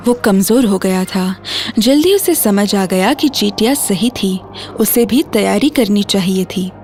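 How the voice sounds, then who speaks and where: A woman's voice: a little high, a little mellow. A woman storyteller reading scripted narration for a children's bedtime story. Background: music.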